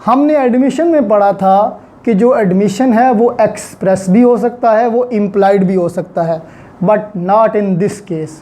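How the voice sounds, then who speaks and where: Male law lecturer, Pakistani accent, high-pitched dark-mellow voice, didactic, repeats key points for students. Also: unhurried.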